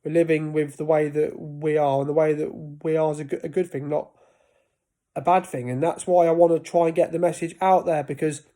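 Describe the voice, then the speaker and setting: A man's voice: fairly high pitch, little pitch movement, a little fast, dark tone. A man in his thirties talking casually to camera about life with a stutter, often breaking off and restarting words. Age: 30s. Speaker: vlogger.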